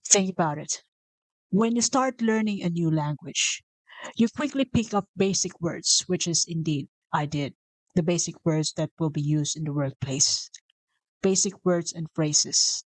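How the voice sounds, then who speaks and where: A woman's voice: low-pitched, no room sound, poor audio. A woman from the Philippines with a Filipino accent, whose first language is Bisaya, hosting a motivational podcast in an earnest way, repeating herself and correcting herself as she goes. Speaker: podcast host. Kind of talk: motivational talk.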